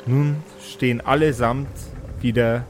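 A man's voice: medium pitch, steady tone, a little slow. Man narrating a tabletop game over music. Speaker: game master and narrator of a tabletop role-playing game. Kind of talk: live tabletop game narration and improvised dialogue. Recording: music behind the voice.

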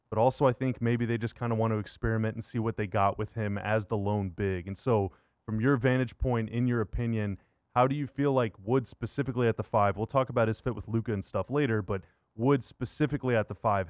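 A man's voice: lowish voice, a little mellow, no echo. Conversational male sports host, dry close sound. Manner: casual, conversational. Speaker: sports radio and podcast host. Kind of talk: upbeat show intro and basketball interview.

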